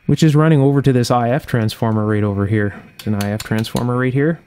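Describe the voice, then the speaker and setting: A man's voice: medium pitch, fast talker, dark tone, a little hiss or hum. Methodical man explaining a hands-on repair. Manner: methodical, thinking aloud. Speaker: host of an electronics repair channel. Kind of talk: unscripted hands-on repair demonstration, explaining each step. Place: indoor workshop bench.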